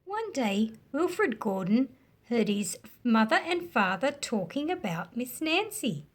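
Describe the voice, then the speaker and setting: An Australian woman hosting a children's read-aloud, telling stories and explaining them in simple, measured phrases with questions to her listeners. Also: a little high, very animated.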